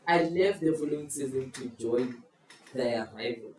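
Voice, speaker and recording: A man's voice: medium pitch, a little mellow. Man posing riddles in an echoey room. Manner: playful, drawn-out clue-giving. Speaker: quiz host. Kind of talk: casual group chat, posing football riddles. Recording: echoey room, far from the mic, faint noise.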